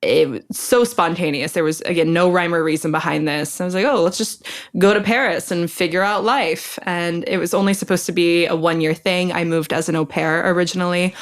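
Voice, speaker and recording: A young woman from Ohio, a podcast guest, chatting in a relaxed way with dry humor. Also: fairly low pitch, brisk. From Ohio, USA. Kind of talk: casual interview chat.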